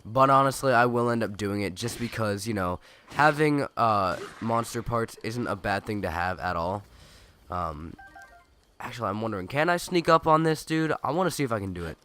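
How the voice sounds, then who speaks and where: A young man with a cold, his nose stuffed and his voice raspy, rambling chattily and correcting himself as he comments on a video game. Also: on the low side, animated, normal speed, a bit bright. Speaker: let's play gamer. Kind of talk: casual gameplay commentary.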